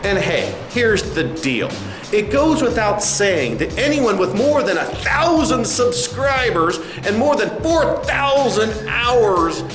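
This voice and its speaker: Man acting as condescending company spokesman, satirical sketch, high male voice, very animated intonation, smug asides. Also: exaggerated voice. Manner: smug, patronizing, halting asides. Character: smug, condescending male corporate spokesman addressing angry protesters. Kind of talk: satirical sketch, mock press conference. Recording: roomy echo, faint music behind.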